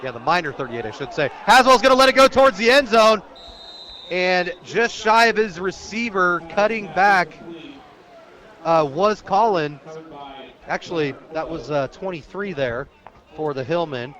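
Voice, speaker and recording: A man with an American accent and a fairly high voice calls football live for radio. He describes each moment of the action as it unfolds, from outdoors at the stadium. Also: lively intonation, moderate pace, pushed and bright. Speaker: play-by-play sports announcer.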